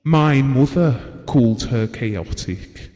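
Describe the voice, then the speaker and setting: A man's voice: medium pitch, animated, slow, mellow, in a large hall. An actor playing a devoted, wistful husband who reminisces tenderly and reflectively about his marriage in an intimate audio drama. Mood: adoring, earnest.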